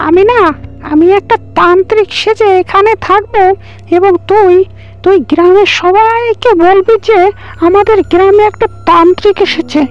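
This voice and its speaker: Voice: extremely high pitch, steady tone, normal speed, no room sound. A performer voicing a cocky, greedy young village man in a cartoon, pushy and wheedling. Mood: eager, scheming. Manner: pushy, wheedling, dismissive of scruples. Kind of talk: cartoon dialogue. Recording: muffled dull audio with background music.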